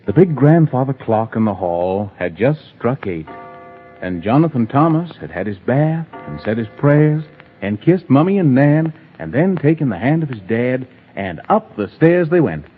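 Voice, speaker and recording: Lilting old-radio storybook narrator. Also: male voice, medium pitch, slightly rough. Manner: sing-song rhyming storytelling, whimsical. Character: playful storybook narrator of a children's Christmas tale. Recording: tinny old 1930s radio recording.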